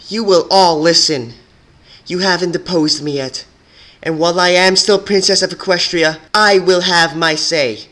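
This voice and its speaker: Stern, wrathful pony princess for a fan-fiction drama, played by a male reader: raised pitch, regal, commanding, accusatory. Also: steady tone, a little slow, sharp tone. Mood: cold fury.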